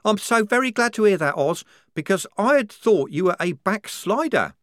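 A man's voice: high-pitched, sing-song, moderate pace, fairly bright tone, no echo, studio quality, voice pushed up. A man reading an audiobook, voicing a naive, devout nineteen-year-old woman soldier who speaks with fervent earnestness.

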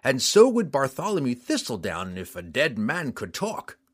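A man voicing a surly male mountain man bounty hunter with a slow, growling, sneering delivery. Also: mid-range voice, wildly swooping pitch. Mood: scornful.

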